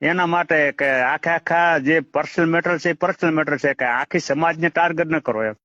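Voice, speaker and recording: High-voiced man on a muffled call. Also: sharp tone, dry recording. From Gujarat, India. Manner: halting, repeats phrases. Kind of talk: argumentative phone conversation. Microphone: phone call.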